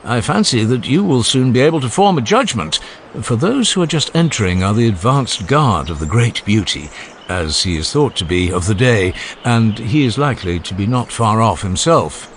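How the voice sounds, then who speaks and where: Cultured Athenian gentleman played by a male narrator, assured and proud, lively intonation, faint noise behind, classical audiobook. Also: lowish voice, moderate pace.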